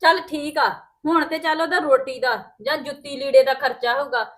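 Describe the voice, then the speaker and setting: A woman's voice: sits high, normal speed, natural voice. A woman playing a defensive young daughter-in-law. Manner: argumentative, self-justifying. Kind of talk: family drama acting.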